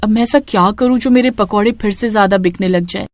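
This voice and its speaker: A woman's voice: mid-range voice, fairly quick, close to an everyday voice. A woman voicing a spirited, kind young street vendor in a cartoon story, earnest and chirpy. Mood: downcast.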